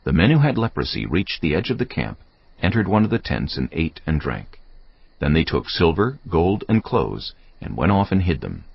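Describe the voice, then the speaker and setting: A man giving solemn, measured scripted narration for a dramatised audio Bible. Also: deep voice, big pitch swings, creaky, husky, no room sound. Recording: dull, muffled audio over music.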